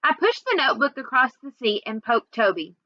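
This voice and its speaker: High-voiced woman reading a story aloud. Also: brisk, dry recording, muffled recording. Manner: expressive, acts out dialogue. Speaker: reader. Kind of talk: read-aloud storytelling of a children's novel.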